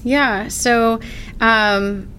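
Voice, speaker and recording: A woman's voice: on the high side, steady tone, extremely slow, pushed and bright, a little hiss or hum. A woman school principal talking earnestly in a casual interview.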